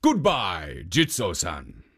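A storyteller voicing a wicked, people-eating male ogre who talks gruffly and demands things. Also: deep voice, huge pitch swings, takes it slowly, a bit bright, voice pushed down, exaggerated voice.

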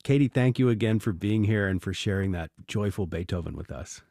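A man's voice: on the low side, fairly quick, creaky, mellow, no room sound, studio quality. A man hosting a music show, interviewing young musicians and introducing guests. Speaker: radio music show host.

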